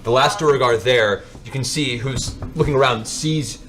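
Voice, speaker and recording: A man's voice: mid-range voice, normal speed, a bit bright. A man working as game master, giving dramatic narration of a tabletop role-playing game.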